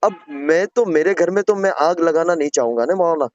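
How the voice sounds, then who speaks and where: Male caller, slightly high voice, deferential and earnest in explaining himself, dull phone-call recording. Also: fairly quick, darkish tone, no room sound. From Gujarat, India. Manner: deferential, earnest, repeatedly addressing the listener respectfully. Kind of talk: private phone conversation, pleading explanation.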